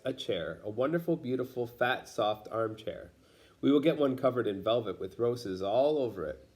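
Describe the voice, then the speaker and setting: A man's voice: mid-range voice, a little mellow. Man reading a children's picture book aloud. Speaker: storybook reader.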